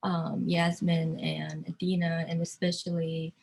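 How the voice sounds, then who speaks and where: Slow, halting woman from South Korea. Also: low voice, flat delivery, vocal fry, darkish tone. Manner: halting, searching for words. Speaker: artist. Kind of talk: virtual artist talk.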